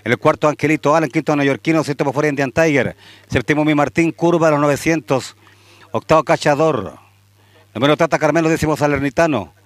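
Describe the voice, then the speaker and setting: A man with a Chilean accent calling horse races live, steady through the field and louder and higher at the finish. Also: a little fast, dry recording. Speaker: horse racing announcer. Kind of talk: live race commentary.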